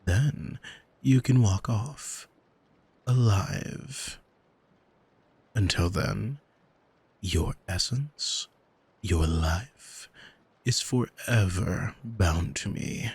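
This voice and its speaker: A man playing an arrogant demon in an ASMR audio drama, smug and teasing, speaking deliberately and intimately to the listener with a husky voice, close on the microphone. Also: fairly low pitch, darkish tone, full character voice. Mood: smug, controlling. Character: arrogant male demon who owns a soul, smug, taunting. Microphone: close studio microphone, dry.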